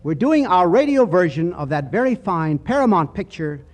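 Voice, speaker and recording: High-pitched, lively 1940s radio host. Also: male voice, normal speed. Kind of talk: 1940s radio hosting, scripted. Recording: faintly noisy old radio broadcast.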